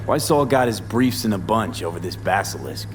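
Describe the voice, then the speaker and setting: A cool, skeptical mercenary from a video game. His voice is lowish and gruff, with dry, clipped lines. He sounds slightly distant over faint noise. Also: lively intonation, normal speed.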